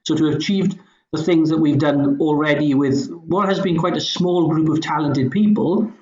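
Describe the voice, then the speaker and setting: A middle-aged man with a soft, anglicised Welsh accent, talking casually as a podcast guest in hesitant, stop-start phrases. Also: on the high side, steady tone, moderate pace. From Wales, Swansea Valley. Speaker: podcast guest, company founder. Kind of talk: casual conversational talk. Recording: roomy echo, slightly dull sound.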